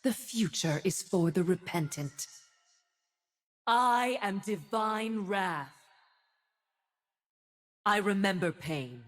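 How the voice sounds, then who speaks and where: A woman's voice: mid-range voice, animated, takes it slowly, pauses often. A woman playing a stern, zealous divine winged warrior in a video game, making solemn, grandiose declarations. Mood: solemn, resolute. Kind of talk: video game character voice lines.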